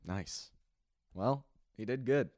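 A young man co-hosting a casual movie podcast, trading deadpan, ironic jokes. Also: medium pitch, takes it slowly, no room sound, studio quality. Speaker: podcast co-host. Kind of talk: casual movie-review chat.

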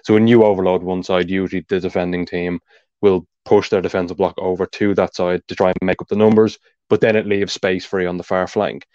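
A man with an Irish accent hosting a football podcast, talking fast as he introduces guests and breaks down match tactics. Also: low voice, little pitch movement, dark tone, dry recording, muffled recording. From Ireland. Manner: talks fast, explains tactics at length. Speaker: podcast host. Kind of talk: casual football podcast chat.

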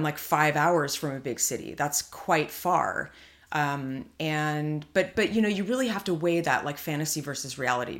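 Low-voiced woman chatting with lots of fillers. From California. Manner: rambling, thinks aloud with many fillers. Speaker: podcast co-host. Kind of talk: casual chat.

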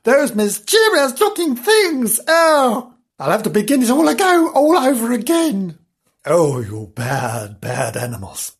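A storyteller voicing a cross woman in charge of a nursery who speaks in a shrill, scolding way. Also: sing-song, a little slow, husky, heavily acted.

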